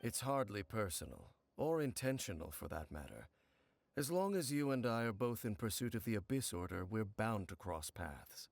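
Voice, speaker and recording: An actor playing a grave, aloof, world-weary swordsman in a video game, speaking formally and with measured solemnity. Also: fairly low pitch, sing-song, vocal fry, soft background music. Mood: aloof, composed.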